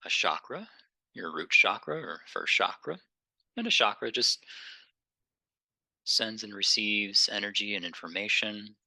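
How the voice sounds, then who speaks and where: A man leading a guided meditation in a gentle, unhurried, conversational way, pausing between phrases. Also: lowish voice, animated, creaky, no echo.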